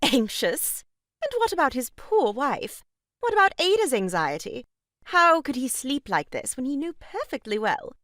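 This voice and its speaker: A woman's voice: sits high, sing-song, unhurried, a bit bright, no room sound, clean studio sound, heavily acted. A woman playing an arch, mock-pious young sister-in-law in a comic stage farce, scolding in a grand theatrical way. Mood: arch, teasing.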